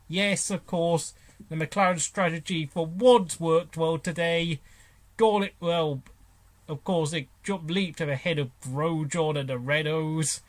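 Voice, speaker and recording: A man reviewing a motor race as host, talking off the cuff in a blunt, opinionated way with halting breaks mid-sentence. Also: fairly high pitch. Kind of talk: unscripted race commentary and driver ratings.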